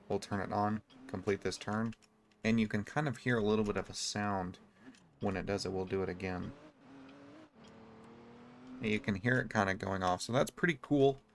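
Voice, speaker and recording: A man giving casual, low-key, explanatory video game commentary. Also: fairly quick, a little hiss or hum.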